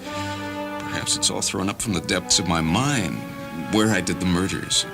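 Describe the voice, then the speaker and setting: Troubled, excitable male character, played by a male reader, halting delivery, swinging pitch, music underneath. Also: vocal fry, exaggerated voice. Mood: confused, feverish. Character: agitated, confused man confessing, prone to outbursts. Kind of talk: dramatised story reading.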